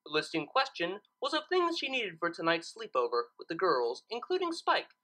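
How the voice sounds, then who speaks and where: Woman, scripted story narration, bright tone, wide swinging pitch. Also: rough recording. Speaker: fan fiction reader.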